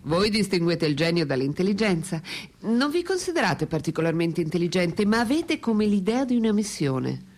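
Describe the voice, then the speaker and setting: A woman's voice: fairly low pitch, very animated, moderate pace, darkish tone. An actress in a radio play voicing a thoughtful, candid French woman intellectual with an articulate, reflective way of speaking. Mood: thoughtful, probing. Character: female French intellectual, philosopher's lifelong companion, thoughtful, candid. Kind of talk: radio play dialogue and monologue.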